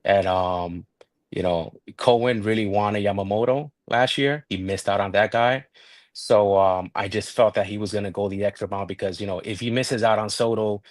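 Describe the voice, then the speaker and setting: Low-voiced man in his thirties, hesitant. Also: moderate pace, no echo. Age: 30s. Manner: thoughtful, hesitant, many fillers. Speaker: guest fan. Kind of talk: casual sports talk.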